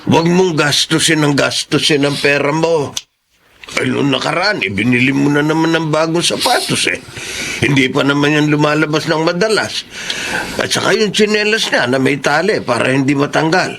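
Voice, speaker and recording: A man's voice: fairly high pitch, normal speed, creaky, fairly bright tone, slight background noise. A man playing an elderly, thrifty and affectionate grandfather in a Filipino radio drama. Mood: fond, chiding. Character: elderly grandfather, thrifty, affectionate, gently advising his son.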